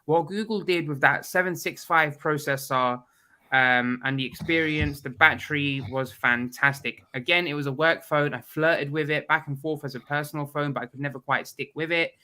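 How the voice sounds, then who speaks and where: A man guesting on a video call chat, his voice bright and forward and sitting a bit high, though a head cold leaves it stuffy. He talks bluntly and with plenty of opinion. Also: moderate pace. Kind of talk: casual chat.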